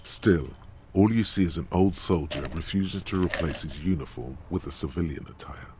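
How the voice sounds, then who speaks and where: An actor voicing a solemn, all-knowing narrator in a detective video game, grave and measured. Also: male voice, low voice, noisy background, natural voice.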